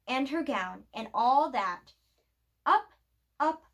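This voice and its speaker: High-voiced woman reading a children's story slowly. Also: sharp tone. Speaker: library storyteller reading aloud. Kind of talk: expressive children's picture book read-aloud.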